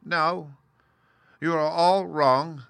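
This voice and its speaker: A man voicing a high, trembling little old humbug wizard. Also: fairly even intonation, very slow, vocal fry, a bit bright, clear, resonant tone, dry recording, heavily acted. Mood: meek, confiding. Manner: apologetic, pleading, fussy. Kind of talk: storybook character reading.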